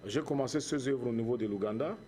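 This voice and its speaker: A man with a Cameroonian accent giving earnest interview answers as a charity founder, in measured, preacher-like phrases with repetition. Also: medium pitch, dark tone, slight background noise.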